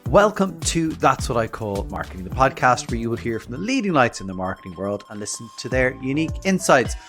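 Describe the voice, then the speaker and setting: This is a man hosting a podcast, with soft music faintly under his voice. His pitch sits in the middle range, and he talks in a friendly way and laughs easily. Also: sing-song, a little fast. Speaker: podcast host.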